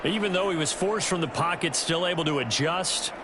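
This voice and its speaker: A man's voice: on the high side, a little fast, close to an everyday voice. A man acting as a polished American TV football play-by-play announcer, with a projected broadcast delivery. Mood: focused. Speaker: play-by-play commentator. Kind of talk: football game commentary. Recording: loud game stadium noise behind.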